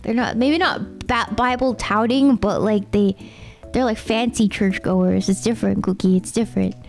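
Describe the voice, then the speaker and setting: A woman's voice: mid-range voice, fairly even intonation, normal speed, vocal fry, a little mellow, faint music behind. A young Canadian woman with a Canadian accent, streaming and chatting casually, rambling and talking straight to her viewers. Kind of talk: casual chat with chat readers.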